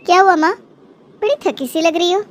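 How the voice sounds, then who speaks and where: A woman puts on a protective young married daughter for a cartoon drama. The voice is extremely high, fussy and ready to find fault, with noise behind it. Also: moderate pace, dull audio. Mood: concerned. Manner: fussing, accusatory. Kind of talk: Hindi cartoon moral story acting.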